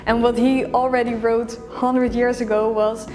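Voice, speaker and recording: A woman's voice: on the high side, little pitch movement, unhurried, darkish tone, big-room sound. A woman from the Netherlands speaking directly and enthusiastically in a documentary interview. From Rotterdam, Netherlands.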